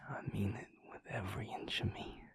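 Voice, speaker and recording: A man playing a tender, earnest werewolf boyfriend in an intimate audio roleplay, speaking softly and haltingly with a breathy, gravelly voice. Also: whispered, close to an everyday voice. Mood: loving, earnest. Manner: hushed, halting, heartfelt, soft-spoken.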